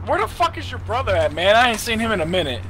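A man in casual voice chat during an online game, loud, brash and swearing a lot. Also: sits high, very animated, fast talker, slight background noise. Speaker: gamer on voice chat.

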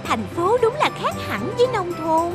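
A shy, wide-eyed little bus girl fresh from the countryside. The voice is very high and swings widely in pitch. Loud music plays behind it. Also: sing-song, normal speed. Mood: timid. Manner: timid and wondering. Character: timid young country bus girl, curious. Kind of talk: Vietnamese cartoon dub.

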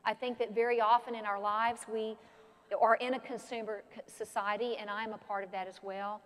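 An older woman pastor preaching an animated sermon from a pulpit in a large church sanctuary. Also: a little high, a little fast. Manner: animated, expressive preaching with gestures. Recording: long church reverb.

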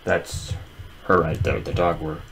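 A man in his twenties giving casual, rambling let's play commentary at home, halting at times. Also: a bass voice, fairly even intonation, creaky, noisy background. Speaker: gaming video host. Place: small room at home, indoors.

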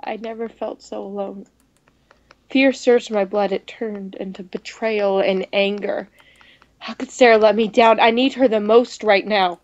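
A woman gaming co-host with an expressive delivery, reading visual novel dialogue aloud. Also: medium pitch, measured pace, lo-fi sound.

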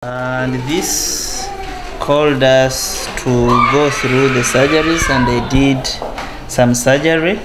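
A man's voice: mid-range voice, fairly even intonation, slow. A young man, physiotherapy staff, explaining a case. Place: physiotherapy room indoors. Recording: echoey room, loud background noise.

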